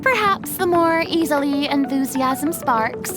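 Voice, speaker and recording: An actress playing a proud yet vulnerable young female celebrity in a video game, with dramatic, refined, expressive delivery. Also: high voice, a little slow, music in the background. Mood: cheerful, curious. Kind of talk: scripted game character voice lines.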